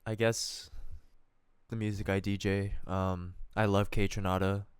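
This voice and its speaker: A man's voice: fairly low pitch, flat delivery, a little slow, clean tone, dry recording. A young man in his twenties, a native Korean speaker, co-hosting a podcast and talking in a laid-back, hesitant way with many fillers. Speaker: podcast co-host, DJ. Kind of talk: casual chat.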